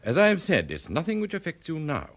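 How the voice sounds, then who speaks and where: A guarded senior commissioner. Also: male voice, on the high side, huge pitch swings, a bit bright, exaggerated voice. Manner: measured, formal, evasive. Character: measured, guarded senior police commissioner, older man. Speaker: assistant commissioner. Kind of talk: 1960s radio drama acting.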